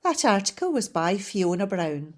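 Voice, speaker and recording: A woman volunteer reading newspaper articles aloud in a steady, measured way. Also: medium pitch. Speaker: volunteer reader.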